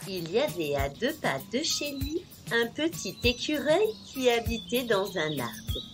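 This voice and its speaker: A woman narrating fairy tales for small children in an expressive, deliberate scripted style. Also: a little high. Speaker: children's storyteller. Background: music.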